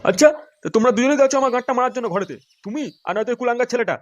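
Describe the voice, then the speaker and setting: A man's voice: very high-pitched, very fast, music in the background. A man voicing an angry middle-aged husband in a cartoon, scolding and swearing. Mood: angry, accusing. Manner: scolding, foul-mouthed. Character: angry middle-aged husband hunting his missing money. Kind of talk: crude comedy cartoon dub.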